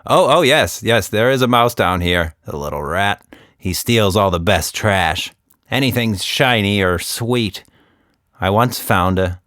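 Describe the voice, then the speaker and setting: A man's voice: fairly low pitch, animated, a little slow, a bit bright, no echo, clean studio sound. A man voicing a talkative, short-sighted female monkey who rambles and interrupts in a children's story. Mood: chatty, indignant.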